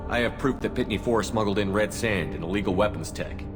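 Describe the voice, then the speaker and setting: A man playing a stoic military commander in a video game, terse and steady, with long reverb on the voice. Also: on the low side, brisk, over music, close to an everyday voice. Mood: matter-of-fact. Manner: terse, steady, matter-of-fact.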